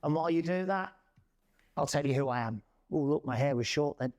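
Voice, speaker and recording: Loud, lively man in his forties. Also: on the high side, brisk. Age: 40s. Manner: loud, lively, self-deprecating. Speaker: conference speaker. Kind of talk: presentation talk. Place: conference room.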